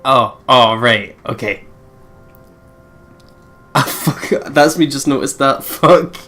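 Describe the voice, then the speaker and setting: A woman giving casual, halting reaction commentary as a let's play co-host. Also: extremely low pitch, a bit bright.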